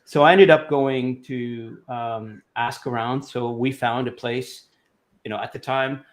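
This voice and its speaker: A man's voice: medium pitch, steady tone, measured pace. Rambling man telling his story. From Lebanon. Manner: rambling, many fillers and restarts. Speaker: guest. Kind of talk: relaxed interview storytelling.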